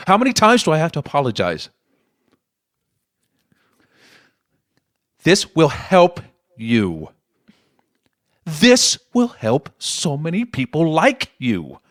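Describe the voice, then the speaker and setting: Defensive politician dad, animated delivery. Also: male voice, fairly high pitch, measured pace, no room sound, clean studio sound. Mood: exasperated. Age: middle-aged. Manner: condescending, self-justifying. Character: defensive, persuasive politician father of a grown daughter. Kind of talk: audio play acting.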